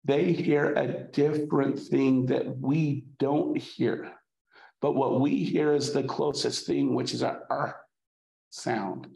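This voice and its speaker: A man lecturing a college class at a slow, unhurried pace. His voice is heavily gravelly, full of fry, and he stops often to restart his sentences with fillers. The sound bounces around an echoey room. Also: medium pitch, steady tone, dark tone. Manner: halting, many fillers and restarts. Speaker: college instructor.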